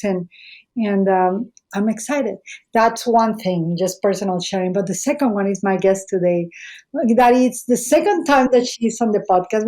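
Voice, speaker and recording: A woman's voice: medium pitch, mellow. A middle-aged woman with a Mexican accent and native Spanish, chatting effusively and digressively as she hosts a podcast. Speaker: podcast host. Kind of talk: casual, chatty podcast introduction.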